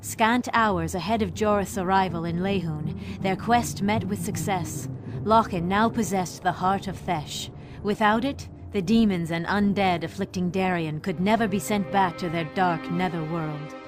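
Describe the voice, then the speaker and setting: Solemn woman narrator over music. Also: mid-range voice. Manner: measured, solemn storytelling. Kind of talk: scripted dramatic fantasy game narration.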